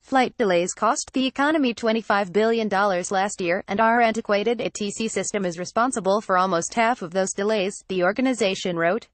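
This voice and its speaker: A woman narrates a news article from a script. Her delivery is formal and literal, at a middle pitch, with a very dry, close sound free of room echo. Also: brisk, hardly any pauses. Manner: reads formally, word for word.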